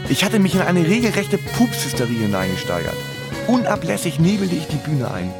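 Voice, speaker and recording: Wry man narrating, slightly high voice. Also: wildly swooping pitch, a little fast, gravelly, loud music behind the voice. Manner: wry, literary phrasing. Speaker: first-person narrator. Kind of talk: comic autobiographical radio-play narration.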